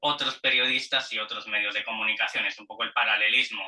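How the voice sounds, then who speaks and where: A man with a Spanish accent from Spain interviewing in long, discursive questions, hesitant and deliberate, often repeating words. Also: fairly low pitch, level tone, sharp tone, distant mic.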